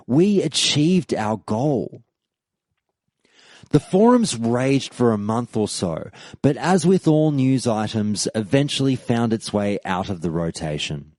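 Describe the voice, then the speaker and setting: A man's voice: lowish voice, darkish tone, dry recording. A man hosting a podcast and reading a scripted history segment with emphatic delivery and rising questions. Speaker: podcast host.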